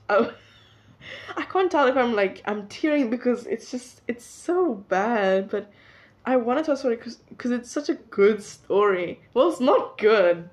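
Rambling young South African woman. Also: medium pitch. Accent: South African English. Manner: rambling, chatty, self-interrupting. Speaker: vlogger. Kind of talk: casual chat to camera. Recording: dull lo-fi recording.

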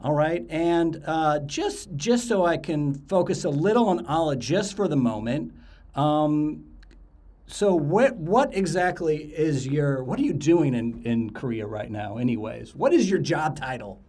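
A man's voice: a little high, expressive, unhurried, a little mellow. A man hosting a podcast, chatting casually with guests and often repeating words as he restarts. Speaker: podcast host.

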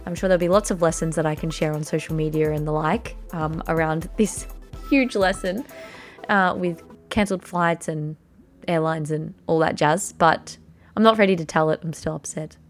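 A woman's voice: low voice, normal speed, soft background music. A woman hosting a podcast interview in an upbeat, enthusiastic way. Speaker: podcast host.

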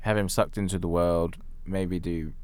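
A man's voice: sits low, fairly even intonation, normal speed, smooth. A man co-hosting a podcast, discussing films casually in a blunt, critical way. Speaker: podcast co-host.

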